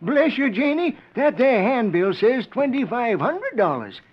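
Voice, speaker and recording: An actor playing a folksy, kindly small-town storekeeper with rustic phrasing in a 1940s radio western. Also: male voice, extremely high pitch, big pitch swings, measured pace, slightly rough, exaggerated voice. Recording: dull 1940s radio recording.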